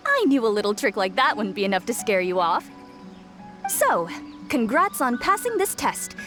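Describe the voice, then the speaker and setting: A woman's voice: sits high, wildly swooping pitch, measured pace, full character voice. A woman voicing a sly young shrine maiden in a video game, teasing and theatrically ominous. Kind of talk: English video game voice acting. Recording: faint game music behind the voice.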